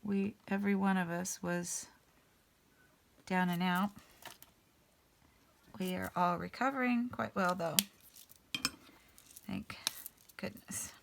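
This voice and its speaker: A woman hosting a casual coloring session, a little raspy as she gets over an illness, thinking aloud in an unhurried way with many ums. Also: fairly low pitch, fairly even intonation, a little hiss or hum. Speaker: artist and channel host. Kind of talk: casual color-along chat.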